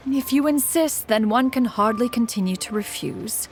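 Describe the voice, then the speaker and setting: A woman's voice: fairly high pitch, a little slow, soft background music. A woman voicing a stately female crane spirit in a game, who speaks formally and calls herself "one". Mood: gracious. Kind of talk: game voice acting.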